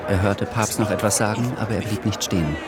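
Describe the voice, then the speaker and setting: Deep-voiced male radio drama narrator. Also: fast, a loud crowd.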